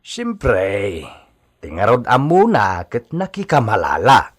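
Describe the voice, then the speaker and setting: An actor with an Ilocano accent playing a loyal, cautious hacienda servant in a radio drama, gently chiding. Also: male voice, on the low side, wildly swooping pitch, slow pace, full character voice.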